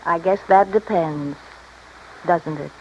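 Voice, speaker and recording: A woman's voice: lowish voice, slow pace, darkish tone. A woman playing a cool, dangerous, scheming wife in an old radio crime drama, in a melodramatic style. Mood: coolly menacing. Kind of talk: 1950s-60s radio drama acting. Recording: dull, noisy vintage radio recording.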